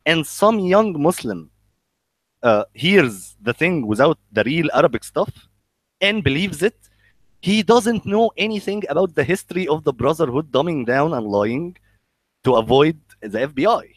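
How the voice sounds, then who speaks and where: A man's voice: on the high side, animated, dry recording. A man with an Egyptian accent chatting casually and bluntly, swearing and joking, often stammering over the starts of his words. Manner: halting, stammering with repeated word starts. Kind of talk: casual conversation.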